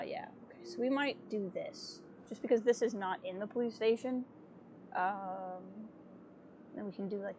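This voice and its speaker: A woman streaming a video game, chatty and a little sniffly, thinking out loud with fillers as she plays. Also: mid-range voice, slight background noise. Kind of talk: casual gameplay commentary. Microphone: desk mic with webcam.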